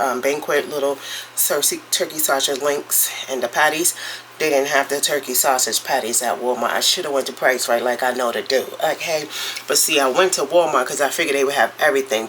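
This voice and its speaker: A woman's voice: low voice, a bit bright, a little hiss or hum. A woman with a stuffy, congested voice from a cold, chatting casually as a vlogger while she cooks at home. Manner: chatty, rambling, drawn-out storytelling. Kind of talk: casual morning vlog chat. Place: home kitchen, cooking.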